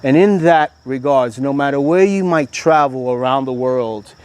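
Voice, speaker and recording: A man from Miami with an American accent touched by Kenyan speech, an organic farmer being interviewed, talking reflectively with many pauses. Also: medium pitch, darkish tone, clear, resonant tone. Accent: American from Miami, with some Kenyan influence. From Miami, Florida. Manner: reflective, speaks with frequent "uh" pauses and building metaphors. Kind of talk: casual interview. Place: raised platform outdoors on a rural farm.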